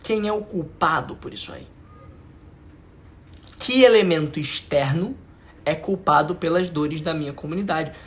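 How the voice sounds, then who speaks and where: A man's voice: fairly high pitch, unhurried, long pauses, a bit bright. A man with a Brazilian Portuguese accent, teaching a live class as a mentor, emphatic and informal, repeating his points and checking whether the audience follows. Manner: emphatic, repeats lines, asks the audience if it makes sense; informal slang, talks to viewers directly. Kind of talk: live online class lecture.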